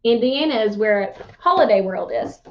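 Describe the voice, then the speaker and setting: A woman with a fairly high voice teaches in an informal, explanatory way, as in a read-aloud lesson for pupils. The recording is slightly dull and lo-fi. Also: lively intonation, moderate pace, slight background noise.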